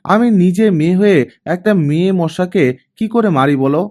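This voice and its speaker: A man voicing an innocent, soft-hearted teenage girl, a younger sister who talks in a light, naive, questioning way in an audiobook. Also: sits high, normal speed, voice pushed up, close to an everyday voice.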